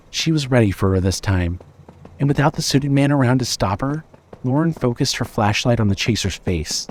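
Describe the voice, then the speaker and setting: A man reading scripted story narration in a measured, expressive, dramatic way. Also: mid-range voice, a little hiss or hum.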